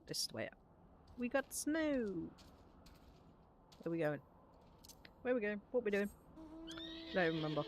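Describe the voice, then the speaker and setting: A woman's voice: on the low side, fairly bright tone. A woman chatting casually and playfully as she plays on a gaming live stream. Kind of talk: casual live stream chat while playing. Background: faint music.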